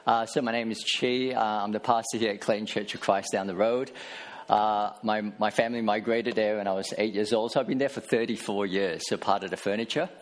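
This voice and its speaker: A man's voice: fairly low pitch, steady tone, a little fast. A man in his forties, a pastor giving a casual sermon in church with many fillers and restarts. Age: 40s.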